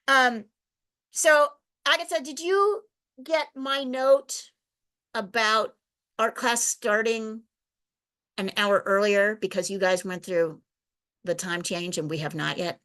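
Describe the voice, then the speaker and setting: A woman's voice: fairly high pitch, steady tone, takes it slowly, pauses often, a bit bright. A woman teaching an online drawing class over a video call, chatty and encouraging. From United States. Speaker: art teacher.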